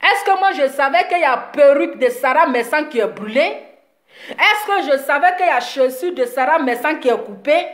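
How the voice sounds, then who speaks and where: A high-voiced woman rants heatedly to her online audience, circling back to the same points over and over. She sounds a little congested from a cold, and her voice rings in an echoey room. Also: expressive, moderate pace. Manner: heated, repetitive, scolding. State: slight cold. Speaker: social media commentator. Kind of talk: live video rant to followers.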